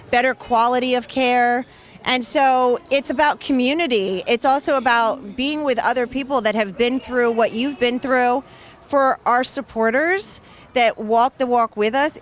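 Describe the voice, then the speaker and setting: Woman in her thirties, fairly high voice, earnest, heartfelt interview guest, outdoor event. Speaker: author and guest. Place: outdoor charity race booth area.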